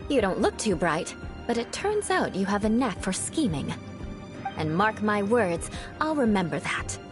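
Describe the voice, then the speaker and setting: A woman's voice: mid-range voice, big pitch swings, measured pace. An actress playing a proud, theatrical young female fighter in a video game, with a haughty, dramatic manner. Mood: haughty, vengeful. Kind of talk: anime-style video game voice acting. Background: game music.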